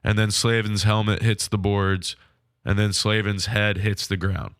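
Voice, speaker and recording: A man hosting a sports podcast on his own, sounding nasal from a cold, talking through a game in a frank, measured way. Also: lowish voice, fairly even intonation, a bit bright, dry recording, studio quality. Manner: frank, measured hockey analysis. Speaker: podcast host. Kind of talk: solo sports podcast recap.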